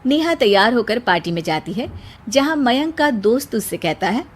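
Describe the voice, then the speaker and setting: Woman story narrator, scripted cartoon tale, high voice, lively intonation. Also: moderate pace.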